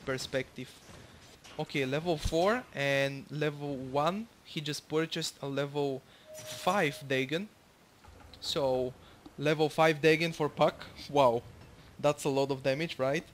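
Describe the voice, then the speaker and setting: A man's voice: a little high, a bit bright, a little hiss or hum. A young man from Bulgaria with a Bulgarian accent, casually commentating his own match, rambling with lots of fillers and the odd swear.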